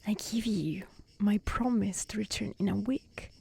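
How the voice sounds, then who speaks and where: A woman voicing a sincere, tender-hearted young maiden in a soft-spoken, earnest storybook reading. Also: mid-range voice, unhurried, gravelly, a little hiss or hum. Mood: tearful pleading. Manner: earnest, pleading, polite.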